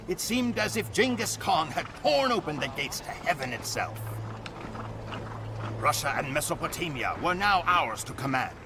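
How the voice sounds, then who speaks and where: An actor playing a solemn, proud Mongol warrior who narrates his people's conquests in a grave, theatrical manner for a game cutscene. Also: a little high. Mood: solemn, awed. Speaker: campaign narrator. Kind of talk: dramatic scripted cutscene narration. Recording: music behind the voice. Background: music.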